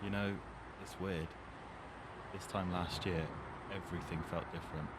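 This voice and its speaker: A man's voice: steady tone, moderate pace, natural voice. A young man playing an earnest, troubled teenage lad burdened by growing up, reflective in manner, in an amateur audio drama. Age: young adult. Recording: noisy amateur recording, environmental rumble.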